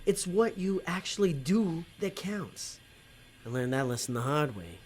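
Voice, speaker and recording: A man's voice: fairly high pitch, animated, measured pace, a little mellow, a little hiss or hum. A man playing a conflicted, working-class demon tempter in an audio drama, talking in a colloquial, hesitant way. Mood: regretful.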